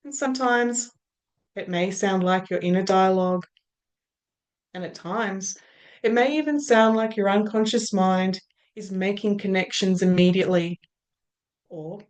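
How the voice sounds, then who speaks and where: Australian woman hypnotist, unhurried, soft trailing phrases. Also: mid-range voice. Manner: slow, soothing, drawn-out phrases that trail off softly. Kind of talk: guided hypnosis induction.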